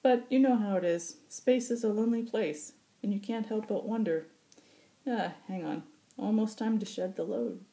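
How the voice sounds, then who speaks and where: Salty old space pilot for audiobook, played by a woman: small, lecherous, teasing, voice lifted high and loud. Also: moderate pace, close to an everyday voice. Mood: lewd, teasing.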